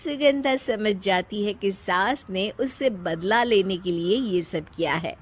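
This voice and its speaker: A woman narrating a scripted moral story in a measured storytelling delivery. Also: medium pitch.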